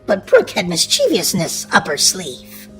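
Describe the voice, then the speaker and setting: A woman reading a children's storybook aloud in an expressive, animated way. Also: fairly low pitch, measured pace, husky, faint music behind. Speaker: storybook narrator.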